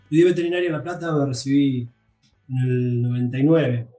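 Hesitant Argentine-accented man, mellow voice. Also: medium pitch, a little fast, recorded from a distance, soft background music. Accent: Argentine Spanish. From Uruguay, raised in Argentina. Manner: hesitant, repeats words, many fillers. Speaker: guest veterinary pathologist. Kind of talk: interview answers. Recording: echoey room.

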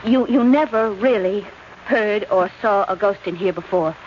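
A woman's voice: mid-range voice, normal speed, close to an everyday voice. An actress playing an anxious, kindly young woman, a detective's sidekick, in a 1940s radio drama. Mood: flustered. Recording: dull, hissy old radio recording.

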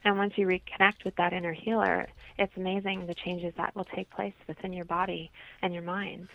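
A woman's voice: lowish voice, level tone, brisk, gravelly. A woman from Canada speaking as an interview guest, explaining things as a holistic health practitioner on slightly dull radio audio.

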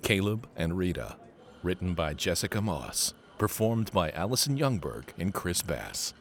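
A man's voice: sits low, sing-song, measured pace, a little hiss or hum. A man announcing audio plays in a scripted introduction.

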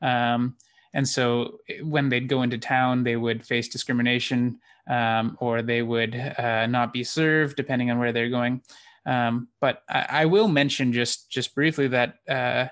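Canadian guy being interviewed, explains stuff kinda hesitantly, mid pitched voice. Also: steady tone, a little slow, a bit bright, clear, resonant tone. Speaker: interview guest, theatre producer and actor. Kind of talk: interview conversation.

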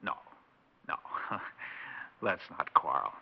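An American actor playing a suspicious yet effusively loving husband in 1960s radio drama, theatrical and emphatic, swinging between tender and wary. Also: male voice, slow pace, natural voice. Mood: wary, defensive. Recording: dull, band-limited 1960s radio recording.

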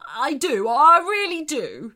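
Woman as big male shark, growly, theatrical, storybook villain, bright forceful tone, music behind. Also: on the high side, very animated, takes it slowly, heavily acted. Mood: tearful, grateful. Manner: theatrical, exclaiming.

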